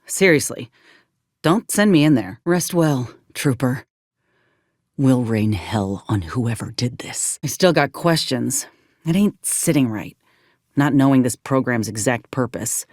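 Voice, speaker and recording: A woman's voice: a bass voice, lively intonation, unhurried, a little mellow, no room sound, clean studio sound, full character voice. A woman playing an earnest, plain-spoken young frontier soldier in a video game, with a blunt, folksy, principled manner. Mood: matter-of-fact, uneasy. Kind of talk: video game character voice acting.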